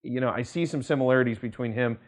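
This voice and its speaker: An American man, an attorney, presenting news commentary in a measured, emphatic manner, stressing phrases deliberately and drawing some out. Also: medium pitch. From United States. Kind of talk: news commentary to camera, quoting articles.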